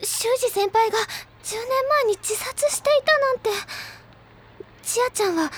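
An actress playing an excitable, fanciful, stubborn high school girl in an audio drama, with an earnest, theatrical, girlish delivery. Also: unhurried, slight background noise. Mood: shocked. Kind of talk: audio drama dialogue, anime-style acting.

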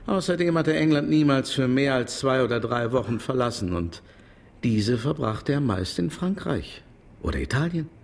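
A man's voice: medium pitch, lively intonation, moderate pace, vocal fry. An actor playing a stout, dismissive London publisher in his fifties, formal and emphatic, in a German radio drama. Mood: firm denial.

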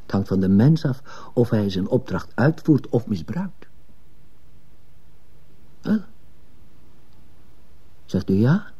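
Earnest, husky, persuasive man. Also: lowish voice, big pitch swings, slow pace, long pauses, dark tone, dull audio, full character voice. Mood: persuasive, pressing. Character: earnest, persuasive man urging missionary work. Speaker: actor in a radio play. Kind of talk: Dutch-language radio drama acting.

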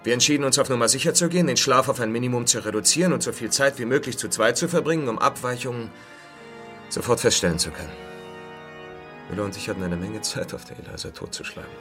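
A man's voice: mid-range voice, animated, quick pace, over music, close to an everyday voice. An actor playing a world-weary space traveller who narrates his mission in a radio play, dry, wry and laconic. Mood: matter-of-fact.

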